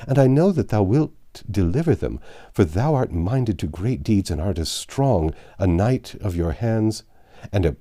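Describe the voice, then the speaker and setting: A man's voice: on the low side, dark tone. A man reading a storybook part as an earnest, adoring young medieval damsel with reverent, archaic speech.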